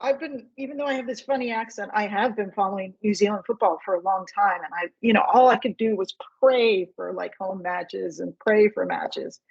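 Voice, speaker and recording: A woman's voice: medium pitch, brisk, vocal fry, hardly any pauses, slightly rough, muffled recording. A woman podcast host asking questions in a casual chat, eager and rambling like a fan.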